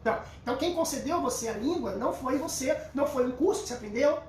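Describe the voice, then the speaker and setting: Carioca guy doing an informal bible lesson, animated and emphatic, echoey room with faint noise. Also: very high voice, racing. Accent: Carioca Brazilian. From Rio de Janeiro, Brazil. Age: middle-aged. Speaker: Bible teacher. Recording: roomy echo, distant mic, faint noise.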